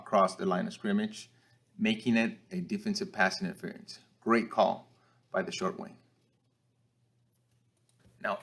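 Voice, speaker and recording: Man giving measured instructional commentary. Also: on the low side, fairly bright tone. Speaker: football officiating instructor. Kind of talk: instructional film-review commentary.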